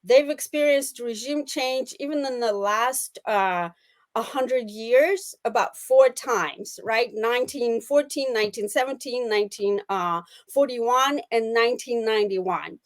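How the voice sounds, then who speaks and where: A middle-aged woman with a Russian accent, explaining as an expert interview guest in a deliberate, emphatic way with pauses mid-phrase. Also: a little high. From Soviet Union. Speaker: guest analyst, former intelligence officer.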